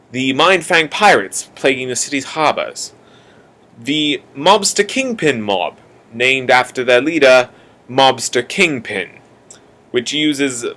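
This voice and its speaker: A man's voice: mid-range voice, sharp tone. Young man narrating with mock-ominous theatrics. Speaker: narrator of a college radio play. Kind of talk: dramatic scripted narration addressed to the listener.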